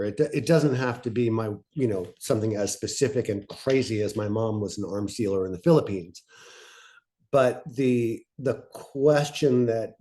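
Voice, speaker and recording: A man with a warm, relaxed, mid-pitched voice co-presents on a video call. He talks casually and a bit haltingly, working out his points aloud. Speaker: podcast pitching workshop co-presenter.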